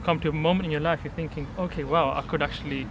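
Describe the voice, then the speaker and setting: A man's voice: fairly high pitch, fairly even intonation, fast talker. A man hosting a casual interview outdoors in the cold, chatty and encouraging. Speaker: interviewer, channel host. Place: outdoors in the cold, urban area. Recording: lo-fi outdoor recording with clear street noise.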